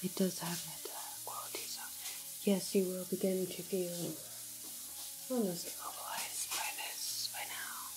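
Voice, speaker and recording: A woman playing a calm, clinical doctor in an ASMR roleplay, soft-spoken and sometimes whispering, explaining things in a hesitant, measured way. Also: fairly low pitch, lightly acted, rough recording. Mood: soothing, instructing. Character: calm, clinical woman doctor at an alien-virus quarantine facility.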